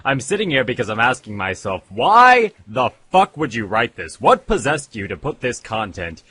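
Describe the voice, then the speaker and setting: A man's voice: medium pitch, very animated, normal speed, bright, forceful tone, slightly rough. A man giving a dramatic scripted reading, with a theatrical, profane delivery. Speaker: fan fiction reader.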